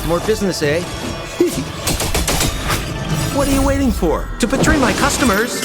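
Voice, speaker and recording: A woman's voice: on the high side, sing-song, takes it slowly. An actress voicing a brash, money-minded female mercenary in video game battle lines, swaggering and taunting. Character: brash, mercenary female fighter demanding extra pay. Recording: loud music and gunfire behind.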